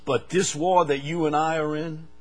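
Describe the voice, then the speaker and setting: Older American preacher in a sermon. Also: male voice, a little high, steady tone, normal speed, faint music behind. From United States. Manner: swings from loud preaching to quiet asides.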